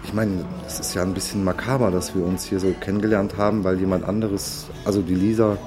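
Ein Schauspieler spielt in einem Krimi-Hörspiel einen unbeholfenen, zögerlich ausweichenden Mann. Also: male voice, low-pitched, little pitch movement, fast, music in the background, close to an everyday voice. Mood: hesitant, evasive. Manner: hesitant, awkward, evasive. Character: awkward, evasive man snooping on a date. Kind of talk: radio crime drama dialogue.

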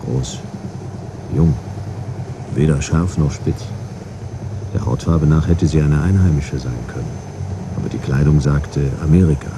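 An actor playing a laconic, deadpan detective who narrates his own case in a noir radio drama. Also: measured pace, pauses often. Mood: deadpan narrating. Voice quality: very deep, gravelly. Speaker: narrator and lead character. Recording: engine noise behind the voice. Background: vehicle engine rumble.

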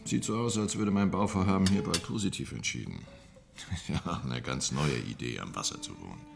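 An actor playing a self-satisfied building contractor brother-in-law with a gravelly voice in a radio play. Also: male voice, a bass voice, normal speed. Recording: music behind the voice.

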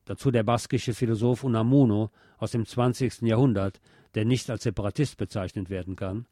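A man's voice: on the low side, steady tone, a little fast, warm tone, no echo, clean studio sound. A man hosting a radio programme in speech, reading scripted historical narration aloud. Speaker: radio host.

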